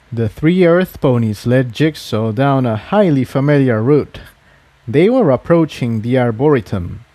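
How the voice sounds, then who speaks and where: A man reading an adventure story aloud as an expressive scripted narrator. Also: medium pitch, no echo. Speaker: fan fiction reader.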